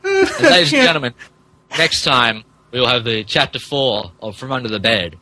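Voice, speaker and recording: A man's voice: medium pitch, big pitch swings, moderate pace, rough recording. A man narrating a comic dramatic reading as a podcast co-host, dropping in casual asides.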